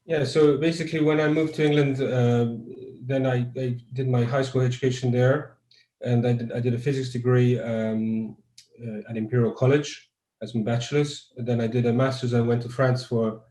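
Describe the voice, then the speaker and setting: A man from Croatia talks through a video call in a level, mellow voice that hardly rises or falls. He is a guest researcher who answers thoughtfully and often restarts his phrases. The sound carries a noticeable room echo. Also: medium pitch, fairly even intonation, moderate pace. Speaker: guest neuroscientist. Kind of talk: conversational interview answers.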